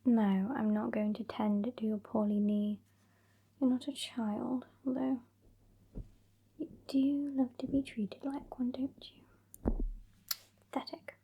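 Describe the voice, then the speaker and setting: A woman's voice: on the high side, steady tone, slow, long pauses, highs cut off, full character voice. A woman playing a haughty, sassy female vampire with a British accent in a whispered ASMR roleplay, condescending and exasperated. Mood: disdainful. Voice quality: whispery, breathy. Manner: condescending, exasperated, softly scolding. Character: sassy, haughty old female vampire scolding her fledgling.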